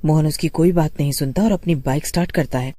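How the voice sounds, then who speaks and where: A woman's voice: deep voice, quick pace, warm tone. A woman storyteller reading a scripted horror tale as narrator.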